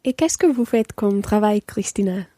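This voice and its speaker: Woman acting a sociable, bright-voiced French mother. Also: medium pitch, animated, moderate pace, no echo, studio quality, lightly acted. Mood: sociable, warm. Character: sociable French mother and part-time English teacher. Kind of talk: scripted learner radio play.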